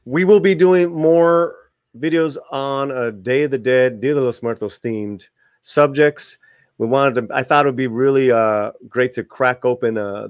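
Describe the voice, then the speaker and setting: Casting: male video host, mid-pitched, reads articles aloud and comments casually, tripping on words, dry recording. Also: no echo. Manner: stumbles over words while reading; informal asides to viewers.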